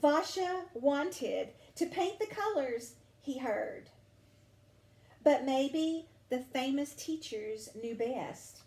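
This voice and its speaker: A woman reads a children's picture book aloud in a high, lively voice that rises and falls. She lingers on vivid words with dramatic, deliberate phrasing. The room gives her voice a noticeable echo. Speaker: storybook reader.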